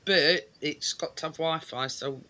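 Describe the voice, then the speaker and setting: A man's voice: on the high side, unhurried, pushed and bright, slight background noise. A man in casual chat, hesitant and searching aloud as he talks. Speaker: visitor discussing his mother's care.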